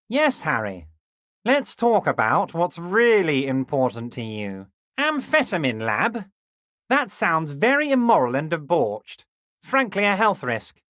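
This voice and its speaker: A man's voice: high voice, a bit bright, no echo, clean studio sound. A male actor playing a smug, wily, older union boss in a video game, chummy, sly and full of mock innocence. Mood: mock-innocent, chummy. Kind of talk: video game dialogue acting.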